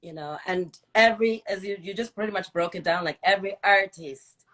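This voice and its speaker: A woman's voice: mid-range voice, fairly quick, fairly bright tone. A woman hosting a casual live-stream interview, encouraging and affirming, often repeating her phrases as she goes. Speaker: host, interviewer.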